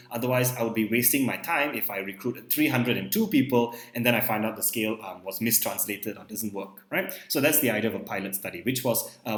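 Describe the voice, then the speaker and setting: Young Malaysian male teacher, native Malay, mid-pitched lecture voice, echoey room. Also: a bit bright. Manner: ends points with a questioning "right?". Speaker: psychology teacher. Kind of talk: recorded lecture over slides.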